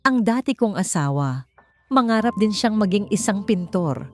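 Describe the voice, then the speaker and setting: A woman narrator voicing a gentle, understanding single father who owns a café in a romance story, quiet and measured. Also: medium pitch, expressive, soft background music, pitched above the performer's own voice, lightly acted. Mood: quiet, wistful.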